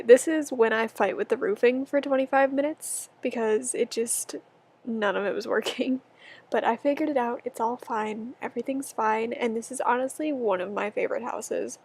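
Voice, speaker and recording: Giggly young woman with a gravelly edge. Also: a little high, a little mellow. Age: 20s. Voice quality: stuffy-nosed. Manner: rambling, giggly, chatty. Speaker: YouTuber. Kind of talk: casual voice-over chat over a game build. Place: at home.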